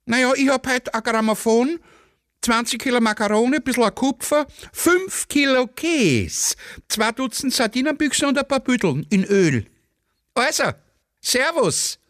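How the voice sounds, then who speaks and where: Gloating, high-voiced Austrian general. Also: male voice, expressive, unhurried, a bit bright, no room sound, studio quality, exaggerated voice. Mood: boastful. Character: brisk, gloating Austrian general boasting of loot. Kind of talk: satirical radio play acting.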